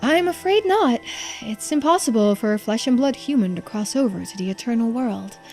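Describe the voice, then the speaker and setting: A woman's voice: a little high, expressive, moderate pace, darkish tone, soft background music. A woman voicing a composed, courteous keeper of old lore in a video game, explaining things politely. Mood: matter-of-fact. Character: calm, knowing, courteous storyteller of mermaid lore. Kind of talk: video game dialogue read aloud in character.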